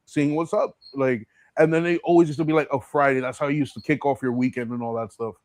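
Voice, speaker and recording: A man's voice: mid-range voice, fairly even intonation, fast, dry recording. Slangy American man hosting, close dry sound. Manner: slangy, streetwise, conversational. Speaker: podcast host. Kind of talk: casual chat.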